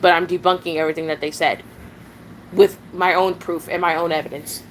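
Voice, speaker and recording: A woman's voice: on the low side, little pitch movement, a little fast, slight background noise, rough recording. A nineteen-year-old woman streaming live, rambling casually, chatting with viewers and often giggling and restarting her sentences.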